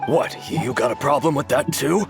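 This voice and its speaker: Guy voicing surly defensive game customer, argumentative, middle pitch, music behind. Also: fairly quick, creaky, lightly acted. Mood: indignant. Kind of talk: anime video game voice acting.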